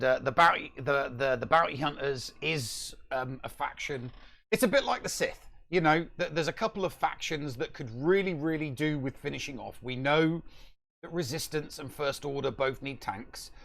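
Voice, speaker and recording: Hesitant middle-aged man with some creak. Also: medium pitch, fairly bright tone. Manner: hesitant, often repeating words. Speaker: podcast co-host. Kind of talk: casual gaming discussion. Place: small room at home, on a video call.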